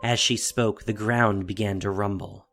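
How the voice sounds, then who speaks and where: A man's voice: fairly low pitch, steady tone, measured pace, fairly bright tone, faint music behind. A man narrating a fantasy audio drama from a script in a dramatic storytelling style.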